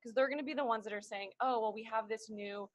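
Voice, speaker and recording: A woman's voice: a little high, quick pace, no room sound. A woman speaking as a marketing panelist, giving advice in a casual professional discussion.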